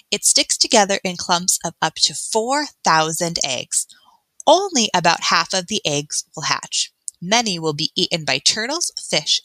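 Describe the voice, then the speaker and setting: A woman teacher reading an educational book aloud for children, adding explanations in a clear, expressive, instructional way. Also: on the low side, dry recording. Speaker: elementary school teacher.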